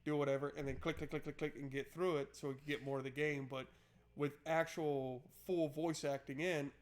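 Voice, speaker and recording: A man's voice: fairly high pitch, steady tone, fairly quick. A man doing casual, joking playthrough commentary and reading game narration aloud in an informal way. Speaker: game streamer.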